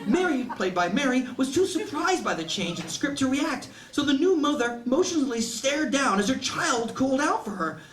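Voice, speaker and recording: Male author, live comic story reading, animated delivery. Echoey room, off-mic sound, audience present. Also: very high-pitched, moderate pace, hardly any pauses, distant mic. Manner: animated comic storyteller, acts out quoted lines. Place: indoor bookstore reading event. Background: laughing audience.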